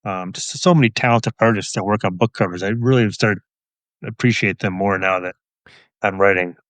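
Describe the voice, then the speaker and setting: A middle-aged man, an author being interviewed, chatting casually in an understated, measured way. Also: fairly low pitch, a bit bright, no echo. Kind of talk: casual chat.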